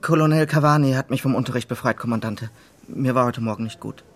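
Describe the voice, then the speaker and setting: A man's voice: mid-range voice, fast talker, lightly acted. A young man acting an evasive, uneasy teenage military cadet in a radio drama, hesitant, guarded and polite. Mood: uneasy, dutiful.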